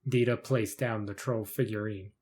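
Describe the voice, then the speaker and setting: A man's voice: fairly low pitch, steady tone, moderate pace, darkish tone. A man reading scripted narration for a fantasy short story in the third person.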